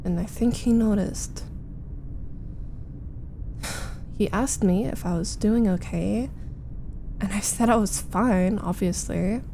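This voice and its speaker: Woman acting a slow-talking, frustrated young roommate. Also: medium pitch, creaky, dark tone, slight background noise. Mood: deflated, frustrated. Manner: halting, sighing, dramatic, emphatic complaining. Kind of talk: ASMR roleplay, intimate first-person monologue.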